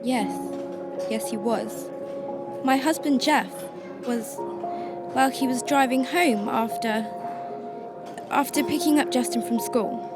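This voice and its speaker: High-voiced grieving mother played by an actress, emotional. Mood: tearful, distraught. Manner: halting, emotional delivery with broken sentences. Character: grieving mother searching homeless shelters for missing husband. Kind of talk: radio drama acting and first-person narration. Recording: music under the voice.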